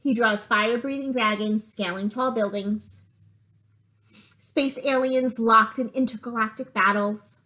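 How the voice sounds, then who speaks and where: A woman's voice: fairly high pitch, fairly even intonation, a little slow, bright, forceful tone, poor audio. A woman teacher giving a reading lesson for young children, reading a picture book aloud and explaining it in simple terms. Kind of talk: reading lesson with storybook read-aloud and asides.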